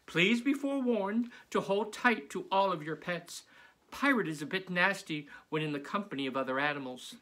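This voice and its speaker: A man's voice: sits high, expressive, moderate pace, bright, forceful tone, voice pushed up. A man voicing a talkative female zombie schoolteacher making emphatic announcements to her class in a storybook. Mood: announcing, emphatic.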